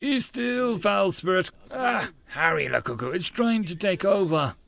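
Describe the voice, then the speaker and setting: A self-important young anime mad scientist, put on by a man. He is bossy and theatrical, pushing his voice high with wild sweeps of melodrama. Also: expressive, unhurried, gravelly, a bit bright, exaggerated voice. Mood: melodramatic panic. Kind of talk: anime visual novel character reading.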